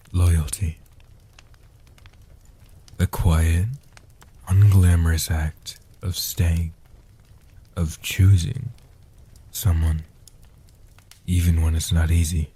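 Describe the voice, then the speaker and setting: A man playing an ancient, protective male vampire in a soft-spoken comfort roleplay, speaking in a breathy, hushed, deliberate and soothing way. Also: sits low, full character voice. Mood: solemn, reverent. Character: ancient male guardian vampire, protective, tender, world-weary.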